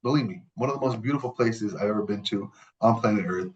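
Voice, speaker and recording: Emphatic lower-voiced man telling a story. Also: fast, muffled recording. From Middle East. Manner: emphatic, repeats words for stress; frequent restarts and self-corrections. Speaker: podcast guest. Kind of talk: casual conversation, personal storytelling.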